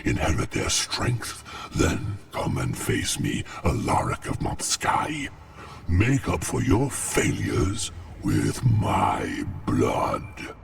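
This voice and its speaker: A menacing dragon villain for a fantasy game. The voice is very deep and growls with heavy gravel. It swings dramatically as it mocks and tempts, with music behind it. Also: male voice, huge pitch swings, slight background noise, full character voice. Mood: taunting. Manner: slow, theatrical, mocking. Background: clear music.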